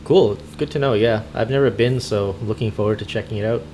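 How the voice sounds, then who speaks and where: A man hosting a gaming video in casual, easygoing chat, with a hesitant, halting delivery. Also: lowish voice, dark tone, slight background noise, low-quality recording. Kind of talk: casual roleplay chat while driving in a game. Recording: music behind the voice. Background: music.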